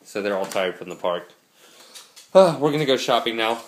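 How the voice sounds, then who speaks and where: A man's voice: fairly low pitch, lively intonation, normal speed, a little hiss or hum. A young man filming a casual vlog with a handheld camera, coaching a frisbee throw and chatting to his dogs. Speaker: dog trainer vlogger. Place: gym, park and home, handheld camera. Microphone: handheld camera mic.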